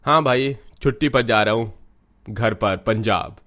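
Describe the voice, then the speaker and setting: Man doing a firm kind army major, calm and commanding, cartoon. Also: fairly low pitch, unhurried. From Punjab. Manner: firm, warm-hearted, commanding. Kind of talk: cartoon voice acting.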